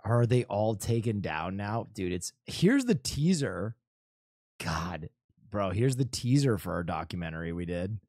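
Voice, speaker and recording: A man's voice: lowish voice, big pitch swings, darkish tone, no echo, studio quality. A man chatting casually and crudely, joking as he reacts to old videos of himself. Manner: loose, profane, joking, quick asides.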